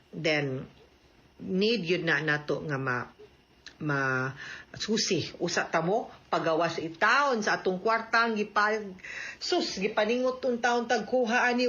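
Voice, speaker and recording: A woman's voice: mid-range voice, sharp tone, highs cut off. A woman with a Cebuano accent giving legal advice to radio listeners in an emphatic, instructive, conversational way. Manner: emphatic, instructive, checking with tag questions.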